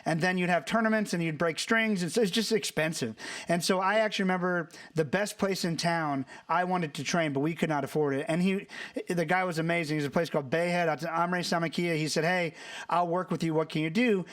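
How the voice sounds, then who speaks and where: A man's voice: on the high side, fairly quick, fairly bright tone. A man from the United States hosting an interview, speaking in a reflective, conversational way with loose, fragmented phrasing. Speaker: podcast host. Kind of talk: casual interview chat.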